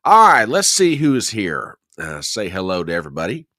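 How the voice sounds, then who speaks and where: A middle-aged man hosting a casual livestream, chatty and jokey, a little hoarse and nasal from a cold. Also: deep voice, wildly swooping pitch, normal speed, sharp tone, dry recording, studio quality. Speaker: host.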